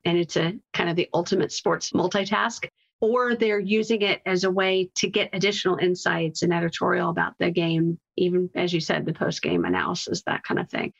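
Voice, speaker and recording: A woman's voice: on the low side, fairly even intonation, fairly quick, creaky. Woman interview guest on slightly muffled audio. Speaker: interview guest, marketing executive. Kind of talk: conversational interview talk.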